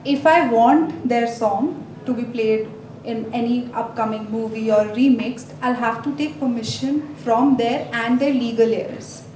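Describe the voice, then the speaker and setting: A woman with an Indian accent giving a legal presentation at a conference, explaining in a measured way with frequent fillers. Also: on the high side, steady tone, a little mellow, far from the mic. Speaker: legal expert speaker. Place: large conference hall. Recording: echoey hall, speaker off-mic, faint noise.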